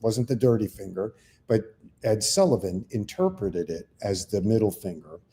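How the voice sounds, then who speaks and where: An older man with a fairly low, dark, mellow voice talks in a relaxed, chatty way. He drifts through long, self-deprecating stories, one after another. The sound is of a comedian trading stories with a co-host. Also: normal speed. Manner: rambling anecdotal storyteller, self-deprecating. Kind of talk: casual chat and storytelling.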